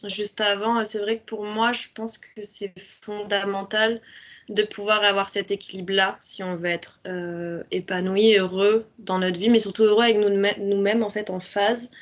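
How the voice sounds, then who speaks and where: A woman with a medium-pitched voice talks as a guest in a relaxed conversation. She is a wellness coach and speaks casually, reflecting as she goes. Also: normal speed.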